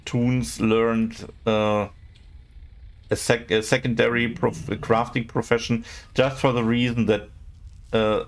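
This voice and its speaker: A man, speaking English as a while streaming a game and chatting casually with viewers, often pausing to search for words. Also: medium pitch, flat delivery, a little hiss or hum. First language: not English. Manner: halting, searching for words, many fillers.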